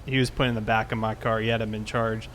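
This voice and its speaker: A man's voice: on the low side, level tone, fast, a crowd in the background, close to an everyday voice. A man playing an easygoing, matter-of-fact police officer in an online game roleplay, casual and procedural. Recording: game voice chat with faint noise.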